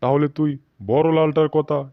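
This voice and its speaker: A gruff, imperious cartoon beast king, played by a man, pitched fairly high and quick to get testy. The sound is dry and a little muffled and lo-fi. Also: little pitch movement, no room sound, natural voice. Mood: irritated. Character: male animal king, gruff, haughty, easily irritated. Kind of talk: cartoon dialogue. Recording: slightly dull lo-fi audio.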